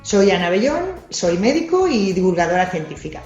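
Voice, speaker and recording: Woman from Madrid with a mellow voice. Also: lowish voice, very animated, a little fast, soft background music. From Madrid, Spain. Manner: chatty, candid, self-deprecating. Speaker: guest, doctor and science communicator. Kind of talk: casual quiz answers. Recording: roomy, dull lo-fi recording.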